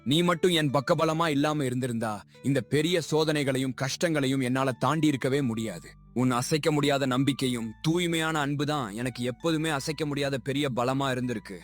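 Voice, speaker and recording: A man's voice: medium pitch, a little fast, soft background music, natural voice. A man playing an honest, humble, forgiving orphan grown up, in a dramatised moral story. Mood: heartfelt.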